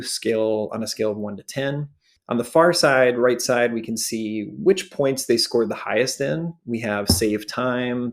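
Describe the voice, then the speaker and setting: A man presents a walkthrough in an explanatory way. His voice sits at an average pitch with a good deal of vocal fry, and he breaks his commentary into short phrases. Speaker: show host and presenter.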